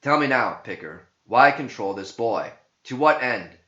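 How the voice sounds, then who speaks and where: A man's voice: mid-range voice, lively intonation, measured pace, bright, forceful tone. A man voicing a stern, commanding exorcist priest who gives impatient orders in a dramatic verse story. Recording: muffled, echoey home recording.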